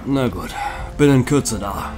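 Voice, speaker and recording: A weary, sardonic police detective in an audio drama, acted by a man. He grumbles slowly, with pitch swinging widely, over music and rain. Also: animated, close to an everyday voice. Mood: tired, reluctant. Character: weary, grumpy male police detective, easily exasperated. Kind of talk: German audio drama acting.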